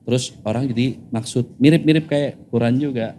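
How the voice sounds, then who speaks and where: Male band member guest from Jakarta, Indonesia, rambling podcast chat, mid-pitched, faint room ambience. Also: moderate pace, dark tone, a little hiss or hum. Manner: rambling, laughs often, slangy.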